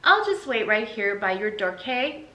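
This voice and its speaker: A woman's voice: fairly high pitch, sing-song, measured pace, sharp tone, voice pushed up, full character voice. Woman as a sleepy little girl, roomy recording. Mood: plaintive. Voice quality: slurry, drowsy. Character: sleepy, needy little girl, a younger sister. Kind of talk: children's book read-aloud. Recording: roomy, noticeable background hiss.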